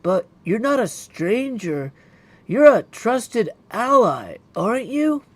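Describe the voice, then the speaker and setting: A man's voice: sits high, big pitch swings, slow pace, no room sound, voice pushed up, full character voice. A man voicing an earnest, dutiful young princess witch from a video game, speaking formally and from the heart. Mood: concerned. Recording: clear background noise.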